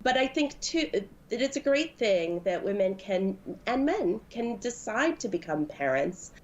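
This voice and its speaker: A woman's voice: mid-range voice, very animated, unhurried, a little mellow, muffled recording. A woman giving her opinion in earnest, unscripted talk. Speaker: speaker in a video being played.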